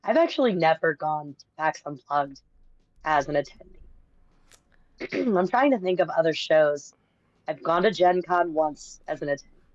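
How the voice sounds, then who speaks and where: A woman guest on a livestream, hoarse and scratchy today, chatting in a dry, joking way. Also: low-pitched, moderate pace, pauses often, darkish tone, no echo, muffled recording. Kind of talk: casual livestream chat.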